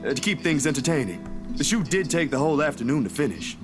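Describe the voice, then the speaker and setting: A man's voice: a little high, very animated, quick pace. An actor playing a polite, nervous young photographer in a visual novel, hesitant and apologetic with his superiors. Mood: deferential. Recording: music behind the voice.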